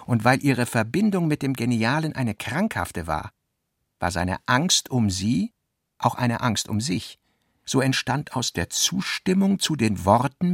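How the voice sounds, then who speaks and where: A man narrating a literary radio drama in a deliberate manner, with a gravelly voice. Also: medium pitch, no room sound, clean studio sound.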